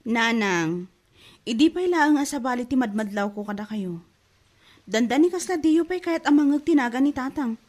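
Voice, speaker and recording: A woman's voice: on the high side, animated, normal speed, darkish tone. A woman with an Ilocano accent playing an anxious, reproachful young daughter in an audio drama.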